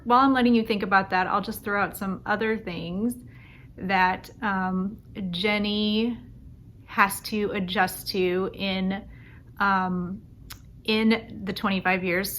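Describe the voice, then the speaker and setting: A woman's voice: medium pitch, bright, forceful tone. A woman author chatting casually about her book, with many ums and restarts. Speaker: young adult author. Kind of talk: casual self-recorded video.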